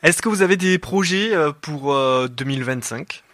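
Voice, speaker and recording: A man's voice: fairly high pitch, animated, moderate pace, fairly bright tone. A male radio host introducing a guest and asking questions, partly read from a script, partly with hesitant fillers. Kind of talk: radio interview. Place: radio studio.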